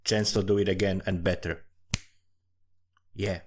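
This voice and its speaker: Low-voiced Slovak man, rambling and profane. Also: flat delivery, unhurried, darkish tone, slight background noise. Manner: profane, self-mocking, digressive. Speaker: storyteller and amateur writer. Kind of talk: casual rambling commentary. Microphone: desktop USB condenser microphone.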